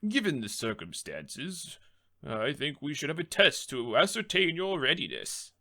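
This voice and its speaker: A man's voice: a little high, huge pitch swings, pushed and bright, exaggerated voice. Man doing a formal, stern courtroom judge. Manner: formal, measured, authoritative. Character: stern but patient older male courtroom judge.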